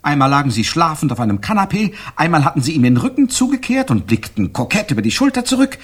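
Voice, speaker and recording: An actor playing a brooding, jealous man with a husky, breathy voice, formally recalling a night in a radio crime drama. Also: a little high, big pitch swings, fast talker. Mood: agitated, accusing.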